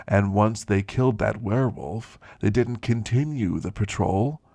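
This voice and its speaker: A man playing a weary, wistful elderly werewolf who confides in slow, reflective ASMR roleplay storytelling, gravelly and husky. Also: lowish voice, a little mellow, dry recording. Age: older.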